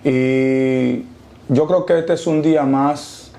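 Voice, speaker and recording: Dominican man with a gravelly, mellow voice. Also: mid-range voice, fairly even intonation. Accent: Dominican Spanish. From Dominican Republic. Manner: preacherly, quotes scripture, slow emphatic pauses. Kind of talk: testimony interview.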